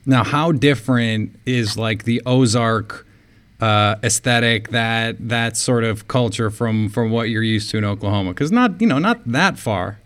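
A man's voice: mid-range voice, measured pace, a bit bright. An American man interviewing a guest casually, chatty and given to long, meandering questions. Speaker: interviewer, sports show host.

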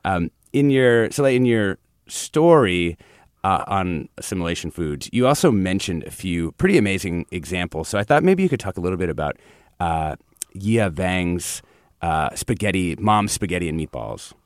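A man with a slightly low voice hosts a relaxed conversation, building long questions that loop and restart before they land. The recording is dry and close, with no room sound. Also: moderate pace, dry recording. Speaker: podcast host. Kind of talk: casual interview.

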